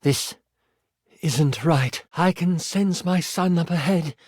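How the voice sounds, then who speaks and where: A man voicing a stern, regal queen in a fantasy game, speaking in a measured, formal way. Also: a little high, a little mellow, slightly rough, no room sound, clean studio sound. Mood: grave.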